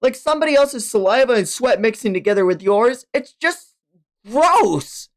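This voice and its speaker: A man voicing a disgusted, scornful teenage manga girl with an indignant, shrill manner. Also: animated, moderate pace.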